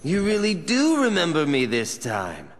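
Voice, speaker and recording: An actor playing a cocky young rogue with a wistful streak in a video game, in a sardonic, drawling, dramatic manner. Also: male voice, fairly high pitch, wildly swooping pitch, a little slow, a little hiss or hum. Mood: mocking, angry.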